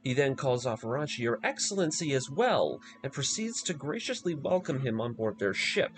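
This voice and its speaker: A man's voice: medium pitch, big pitch swings, normal speed, a little hiss or hum. A man narrating a scripted video review, moving between commentary and story recap.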